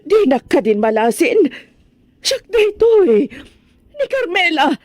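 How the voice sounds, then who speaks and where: A woman's voice: high-pitched, big pitch swings, unhurried, darkish tone, no echo, clean studio sound. A woman acting in an Ilocano drama as distressed, argumentative women, exclaiming emotionally with a Filipino Ilocano accent. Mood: shocked, tearful.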